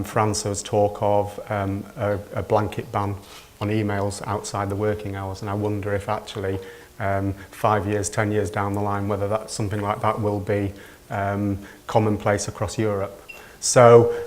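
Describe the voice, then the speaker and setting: A man in his thirties speaking on a conference panel, hesitant and full of filler ums. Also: on the low side.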